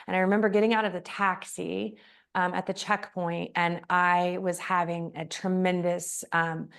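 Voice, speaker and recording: A woman hosting an interview, sharing a personal story in a gentle, halting way with frequent pauses. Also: lowish voice, smooth. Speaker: podcast host.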